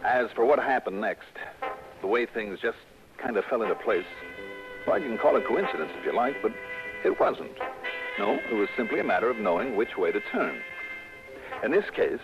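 Shrewd American male investigator: low, crisp delivery, old broadcast with dulled sound and music. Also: sing-song, normal speed, pauses often. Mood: wry, confident. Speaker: lead actor and narrator. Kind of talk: 1960s radio detective drama acting. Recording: dull old radio broadcast, music behind.

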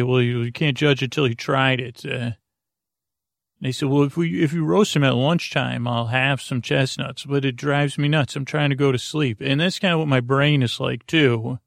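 Rambling, gravelly-voiced man. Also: medium pitch, no echo, clean studio sound. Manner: meandering, stammering, silly. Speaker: sleep podcast host. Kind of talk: rambling bedtime monologue.

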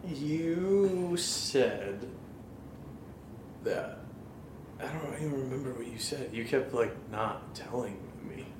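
A man's voice: mid-range voice, lively intonation, slow, long pauses. A man playing a concerned, insistent friend in a naturalistic horror audio drama, conversational and earnest. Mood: curious, hesitant. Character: Steve, Nate's concerned, insistent drinking buddy. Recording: echoey room with noisy ambience.

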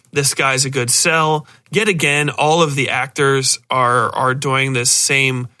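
Guy podcast host, kinda high bright voice, rambling sarcastic review, fed up. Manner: sardonic, exasperated, mockingly acts out lines. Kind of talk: casual rambling film review.

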